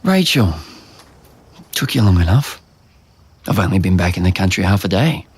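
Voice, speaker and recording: Casting: weary, sarcastic young Australian in audio drama, raspy, with animated pitch. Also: male voice, moderate pace, full character voice. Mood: bitter, sarcastic. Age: young adult. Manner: dry, sarcastic, defensive. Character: tired, guarded, sarcastic young man, restaurateur's son. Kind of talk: naturalistic audio drama acting.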